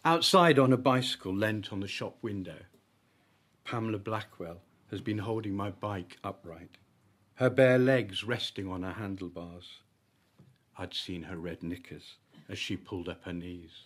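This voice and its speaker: Guy with a lowish voice reading his short story live, deliberate punchy phrasing, comic timing. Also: fairly bright tone. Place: live literary event at an arts venue.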